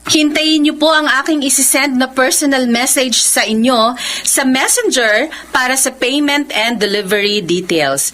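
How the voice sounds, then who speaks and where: A woman's voice: high voice, pushed and bright, exaggerated voice. A Filipino woman acting in a radio drama as a confident, playful young online seller. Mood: cheerful sales patter. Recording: faint background noise.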